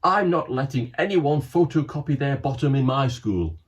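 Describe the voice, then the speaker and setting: A man's voice: medium pitch, expressive, moderate pace, close to an everyday voice. A man reading aloud, voicing a pompous, blustering head teacher who bellows his lines.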